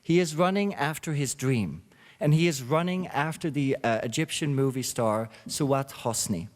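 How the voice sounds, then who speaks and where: A man hosting a symposium, reading a reflective introduction with many pauses and fillers. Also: mid-range voice. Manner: halting, with frequent uh fillers. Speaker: symposium host. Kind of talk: read introductory speech. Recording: long hall reverb.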